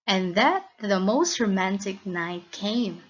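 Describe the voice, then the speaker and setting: A woman with a Filipino accent narrating a scripted lesson as a teacher, in a deliberate, instructional manner. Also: medium pitch, lively intonation, husky. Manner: deliberate, instructional, checks with listeners. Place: recorded voice-over for slide lesson. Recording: dull, lo-fi voice recording.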